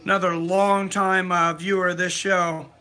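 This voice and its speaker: A man hosts a live stream in a loose, chatty way, reading viewer comments and pushing people to hit the like button. His voice sits high for a man. It has a very bright, sharp edge. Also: level tone, a little slow. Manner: chatty, reads viewer comments aloud, urges likes.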